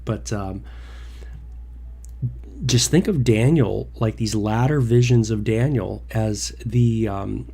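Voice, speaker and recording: A man's voice: fairly low pitch, gravelly, warm tone. A man hosting a casual Bible devotional livestream from home, a little nasal from a cold, rambling with many fillers and restarts. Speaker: devotional host.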